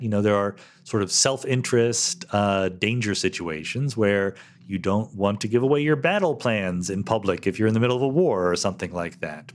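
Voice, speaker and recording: Low-voiced man musing through listener questions. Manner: thoughtful, conversational explaining with fillers and restarts. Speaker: podcast host answering listener questions. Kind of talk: solo Q&A podcast, reading questions and answering.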